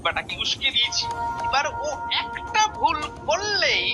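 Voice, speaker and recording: A high, very animated Bengali-accented male cartoon jester, shrewd and suspicious. He muses and exclaims in swooping tones, with music playing underneath. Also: moderate pace. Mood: scheming.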